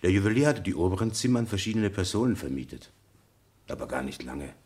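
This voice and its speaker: A tobacconist testifying in a radio drama. His voice is very deep, and he speaks formally, pondering as he recalls what he knows. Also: big pitch swings, quick pace, darkish tone. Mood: deliberate, recollecting. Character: deliberate French tobacconist giving witness testimony. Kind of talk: German radio play acting.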